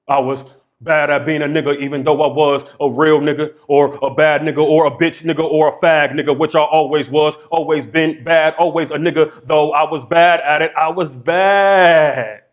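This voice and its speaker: A young man performing his poetry aloud, chanting repeated phrases with sweeping swings from hushed murmurs to shouts. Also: on the high side. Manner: rhythmic, incantatory delivery with chanted repetitions. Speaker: poet.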